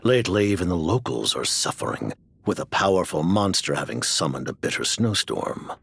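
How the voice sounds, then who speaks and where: A grave, mysterious older director of a sinister order in a video game cutscene. The voice is extremely deep and crackles with gravel. He speaks formally and cryptically, with commanding, expressive swings of pitch. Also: normal speed, heavily acted. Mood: cryptic, stern.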